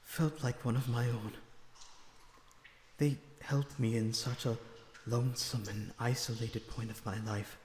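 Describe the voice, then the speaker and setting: A man playing a lonesome, remorseful, gentle vampire prisoner in an audio roleplay, speaking in an earnest, halting, emotional way. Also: mid-range voice, steady tone, natural voice. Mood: sorrowful, remorseful. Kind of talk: dramatic audio roleplay acting. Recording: echoey reverberant dungeon-like sound, background noise.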